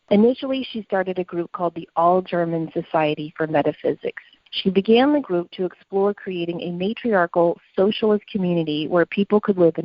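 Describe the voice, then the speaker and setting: Woman podcast host, kinda low voice, explaining a story from notes, dry muffled lo-fi audio. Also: no echo. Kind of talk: podcast narration from prepared notes.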